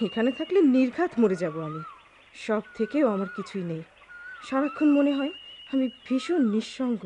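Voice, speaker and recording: An actress playing a haughty, discontented rich young wife with disdainful, theatrical delivery in a radio drama. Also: female voice, high voice, big pitch swings, moderate pace, warm tone, a little hiss or hum. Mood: weary, discontented. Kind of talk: melodramatic radio drama acting.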